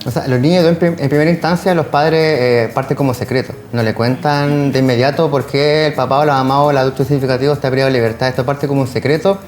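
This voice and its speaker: A man's voice: mid-range voice, fairly even intonation, fast talker, few pauses, darkish tone, a little hiss or hum. A man with a Chilean accent giving informal, run-on explanations as an interview guest. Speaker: social worker, guest.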